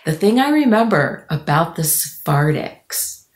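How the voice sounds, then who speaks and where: Lower-voiced woman storyteller in echoey room. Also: big pitch swings, unhurried. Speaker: guest author. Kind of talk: conversational storytelling in a podcast interview. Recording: roomy, echoing podcast audio.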